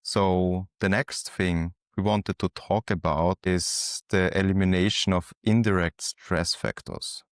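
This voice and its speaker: A man hosting an interview in a calm, measured way, guiding the conversation. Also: low voice, dry recording, studio quality. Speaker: interview host. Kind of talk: casual interview talk.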